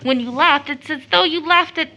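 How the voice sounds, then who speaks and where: An actress playing an earnest, devout girl who longs for motherhood, fervent and halting in a stage-play audio drama. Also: sits high, sharp tone, low-quality recording. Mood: fervent, reverent. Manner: fervent, emotionally heightened, halting.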